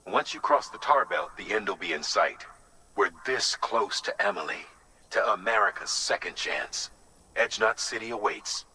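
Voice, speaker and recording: An actor playing an earnest, resolute mission commander in a video game, speaking in a measured, encouraging way. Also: male voice, on the low side, pushed and bright, husky, heavily acted, poor audio. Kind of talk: video game dramatic dialogue.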